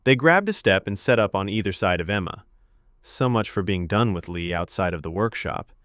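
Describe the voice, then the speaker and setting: A man's voice: low voice, expressive, normal speed, fairly bright tone, no room sound, studio quality. A man reading scripted fiction narration as a storyteller.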